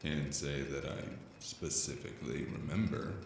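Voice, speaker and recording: Man acting a very deep, gravelly noir stranger. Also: roomy sound, a little hiss or hum. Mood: deadpan curiosity. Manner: deadpan, dry, unhurried. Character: weary, wry noir stranger narrating his investigation. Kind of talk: cyberpunk noir narration and dialogue.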